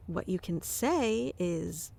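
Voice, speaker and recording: A woman doing a soft-spoken ASMR roleplay, teasing and commanding yet doting, close to the mic. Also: on the low side, creaky. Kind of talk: ASMR roleplay, playing a bossy affectionate boss.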